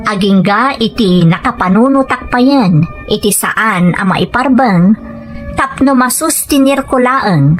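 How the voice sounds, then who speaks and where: A woman playing a soft-spoken, coaxing wife with a gambling vice in an Ilocano radio drama, in measured, confiding phrases. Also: a little high, expressive, soft background music. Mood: regretful, confessing. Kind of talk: Ilocano radio drama acting and first-person narration.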